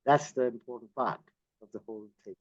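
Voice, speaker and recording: A man's voice: mid-range voice, fairly quick, muffled recording. A man hosting an online interview over a video call, enthusiastic and effusive. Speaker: host.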